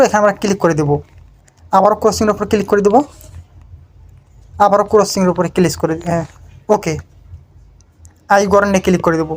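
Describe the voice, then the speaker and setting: A woman narrates a step-by-step tech tutorial as its host, in an instructional, repetitive way. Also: mid-range voice.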